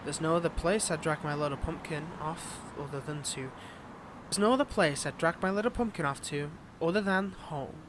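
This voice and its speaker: Man acting a high-voiced smug male villain. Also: moderate pace, noisy background, lightly acted. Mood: smug, threatening. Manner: taunting, theatrical. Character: smug possessive male fantasy villain courting his ex. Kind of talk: roleplay audio drama acting.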